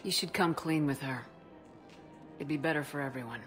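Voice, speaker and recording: An actress playing a firm, candid female military commander, direct and steady, in a video game. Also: deep voice, normal speed, soft background music.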